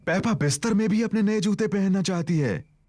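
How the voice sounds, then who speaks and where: A man's voice: high voice, moderate pace, a little mellow, faint music behind. A man narrating a children's cartoon in a scripted storytelling manner.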